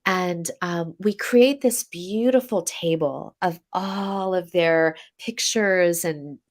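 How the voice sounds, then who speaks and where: American woman, stuffy nose from a cold, slightly low voice, chatty guest, lots of ums and restarts. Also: slow pace. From USA. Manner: chatty, many fillers and restarts. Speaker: podcast guest, coach. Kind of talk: casual interview storytelling.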